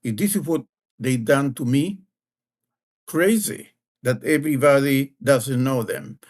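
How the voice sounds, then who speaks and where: A man from Argentina with an Argentine Spanish accent, a guest telling his life story slowly and with pauses in an interview. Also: medium pitch. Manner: slow, measured storytelling with pauses. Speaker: guest, leadership advisor.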